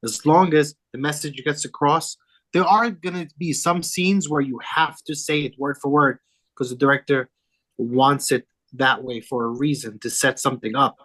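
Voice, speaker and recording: A man's voice: mid-range voice, sharp tone, low-quality recording. A man, a film director on a podcast panel, talking casually and circling back on his points. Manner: rambling, repeats himself.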